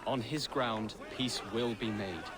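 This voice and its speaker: An actor playing an earnest young heir to a lordship in a video game, speaking politely, formally and a little unsure of himself. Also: male voice, medium pitch, takes it slowly, heavy background noise, close to an everyday voice. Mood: hopeful. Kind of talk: video game character acting. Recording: music behind the voice.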